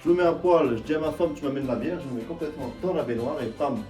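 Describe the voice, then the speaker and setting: A man's voice: a little high, fast, dark tone, reverberant, distant mic, faint music behind, natural voice. A young man putting on a crude, tired building-site worker who brags about coming home from work. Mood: bragging.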